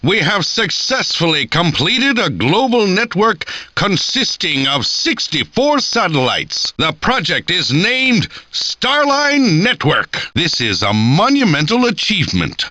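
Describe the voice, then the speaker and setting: Bright-voiced, haughty villain mastermind. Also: male voice, a little high, no room sound, heavily acted. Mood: grandiose, proud. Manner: theatrical, haughty, deliberate. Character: grandiose, menacing male villain mastermind plotting world conquest. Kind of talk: arcade game voice acting.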